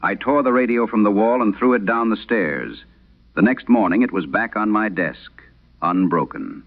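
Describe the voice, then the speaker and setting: An actor playing an aging, haunted priest, giving a slow, solemn confession in a grave, measured horror radio monologue. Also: male voice, fairly low pitch, animated. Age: older. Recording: muffled vintage radio sound.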